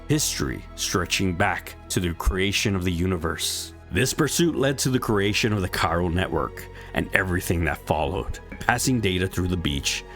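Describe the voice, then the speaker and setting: A man reading a scripted story recap in a measured, explanatory storytelling manner. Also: low voice, a bit bright. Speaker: narrator of a video game story recap. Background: background music.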